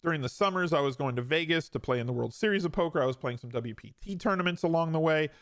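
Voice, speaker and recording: A man's voice: on the high side, animated, quick pace, a bit bright, dry recording, studio quality. A man in his forties hosting a podcast, telling his own story in a casual, conversational way with emphatic repeats and some self-deprecation. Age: 40s. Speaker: podcast host.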